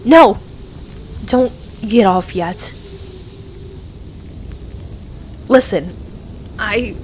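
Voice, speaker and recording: A woman's voice: medium pitch, a little hiss or hum. A woman playing a playful, affectionate young best friend with a hesitant, earnest way of talking, in an ASMR roleplay audio. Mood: nervous.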